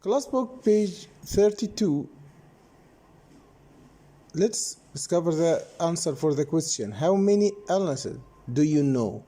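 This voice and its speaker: Guy teaching english, kinda high voice, bouncy intonation, explains step by step. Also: animated, slow, dark tone. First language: Arabic. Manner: explains step by step, repeats page numbers. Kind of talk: classroom lesson explanation.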